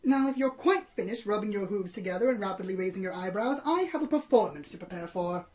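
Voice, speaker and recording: A woman's voice: mid-range voice, expressive, fast, darkish tone, natural voice. A woman playing a prim, easily exasperated theatrical pony in an audio drama, speaking in formal, haughty, dramatic phrases. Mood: curt, dismissive. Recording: distant mic, noisy, humming.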